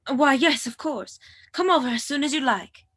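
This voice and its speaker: A woman's voice: high voice, moderate pace, a bit bright. A woman playing a coy, polite young household employee and secret sweetheart in a 1940s radio drama style. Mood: pleasantly surprised.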